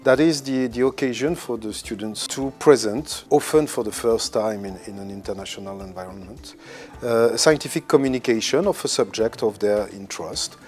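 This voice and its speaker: A man's voice: lowish voice, moderate pace, a little mellow, faint music behind. A man organizing a physics school, speaking in a documentary interview with many hesitations and restarts. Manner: hesitant, frequent fillers and restarts.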